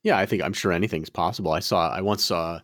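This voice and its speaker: A man's voice: sits low, quick pace, a bit bright, no room sound, clean studio sound. A man co-hosting a podcast, talking frankly and rambling, with many restarts. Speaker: podcast co-host. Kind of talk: casual podcast chat.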